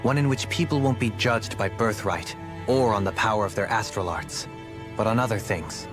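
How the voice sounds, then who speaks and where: A thoughtful young nobleman voiced by a man speaks with earnest formality in a game cutscene. His voice sits on the low side, measured and dutiful, with clear music behind it. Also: lightly acted. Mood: thoughtful, hopeful. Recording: prominent background music.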